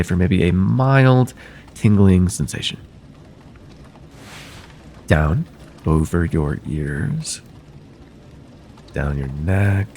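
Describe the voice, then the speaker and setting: A man playing a courteous nocturnal vampire therapist in an ASMR roleplay, speaking formally and gently to the listener in a soothing, slightly hesitant way. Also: low-pitched, a little hiss or hum. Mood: hushed, hypnotic.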